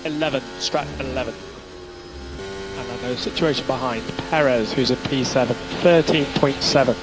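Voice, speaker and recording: A man's voice: medium pitch, normal speed, music in the background. A man working as a race engineer, giving procedural, repeated instructions to a driver over team radio.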